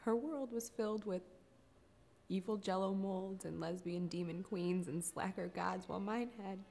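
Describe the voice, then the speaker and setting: A woman's voice: medium pitch, fairly even intonation, moderate pace, vocal fry, darkish tone, close to an everyday voice. A woman performing a dramatic stage monologue as a grieving, regretful older sister, blunt and defensive. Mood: bitter, defensive.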